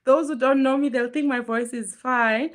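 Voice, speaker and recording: Hoarse, earnest woman hosting a live chat. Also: sits high, fairly even intonation, moderate pace. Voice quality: normally very loud voice, pushing it now. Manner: chatty, earnest, greets viewers by name. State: hoarse, strained from illness. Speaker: live stream host. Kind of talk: casual motivational live chat.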